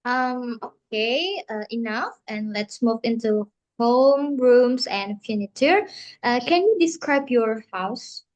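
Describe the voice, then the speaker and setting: A young woman co-hosting a casual podcast, friendly and upbeat but halting, with many uhs as she leads the questions. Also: a little high, expressive, a little mellow, dull audio. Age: young adult. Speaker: co-host.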